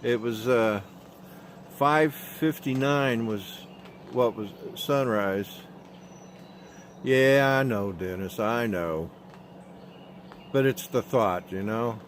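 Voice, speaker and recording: A man with an Oklahoma drawl talks in a flat monotone, taking his time between words and filling the gaps with "uh". He is streaming live from a phone held in his hand outdoors, with a faint hiss of noise behind him. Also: mid-range voice. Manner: slow, drawling, halting with many fillers. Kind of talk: casual live stream chat, reading viewer comments. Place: outdoors at sunrise. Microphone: handheld phone.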